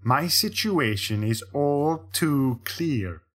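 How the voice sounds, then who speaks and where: A man voicing a paranoid, malicious painter in an audiobook, speaking slowly and broodingly. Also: fairly high pitch, lively intonation, fairly bright tone, exaggerated voice. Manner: slow, brooding, menacing. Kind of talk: audiobook character reading.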